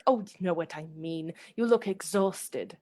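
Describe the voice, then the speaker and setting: A woman acting in a radio play as a fragile, affectionate young gentlewoman, speaking gently and expressively. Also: lowish voice, a little slow, close to an everyday voice. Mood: brighter, insistent.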